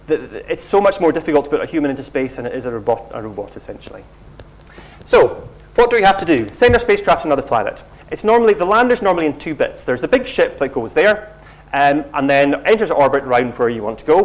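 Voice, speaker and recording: A middle-aged man from Scotland giving a conference keynote in a large lecture hall, explaining in a relaxed way with frequent ums and restarts. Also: mid-range voice. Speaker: keynote speaker.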